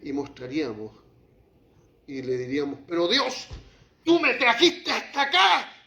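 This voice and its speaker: A man preaching a sermon as pastor, shifting from quiet, low passages to raised, heated lines. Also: wildly swooping pitch, measured pace, creaky. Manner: impassioned preaching, drops to quiet, then rises to acted outbursts. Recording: echoey hall, muffled lo-fi sound.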